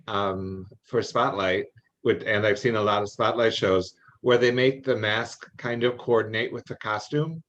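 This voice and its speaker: A man's voice: lowish voice, fairly bright tone. A man talking informally in an online meeting, advising others, over dull call audio.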